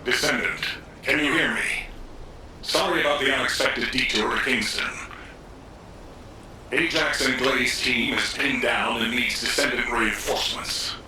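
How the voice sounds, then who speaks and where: A man playing a grave military commander in a video game, giving a briefing in a measured, solemn way. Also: mid-range voice, natural voice. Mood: urgent, businesslike. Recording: roomy, distant, loud background noise.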